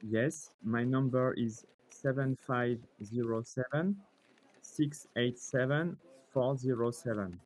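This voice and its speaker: A man's voice: medium pitch, slow, darkish tone, low-quality recording. A middle-aged man, a native French speaker with a French accent, presenting and talking casually in a demo. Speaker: presenter and caller. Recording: clear background noise.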